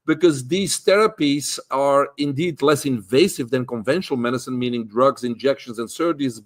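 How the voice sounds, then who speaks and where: Belgian-accented man in his fifties, easygoing guest, chatty storyteller with filler words. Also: medium pitch. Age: 50s. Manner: relaxed storytelling with many fillers. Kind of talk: casual interview chat.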